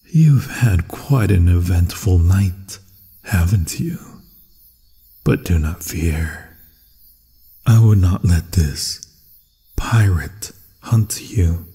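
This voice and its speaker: A man's voice: low voice, mellow, husky, exaggerated voice. A man voicing a hungry, possessive werewolf in an ASMR fantasy roleplay, savoring his words seductively and often slipping into whispers. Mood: possessive, predatory. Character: hungry, possessive male werewolf, menacing yet infatuated. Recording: long cavernous reverb.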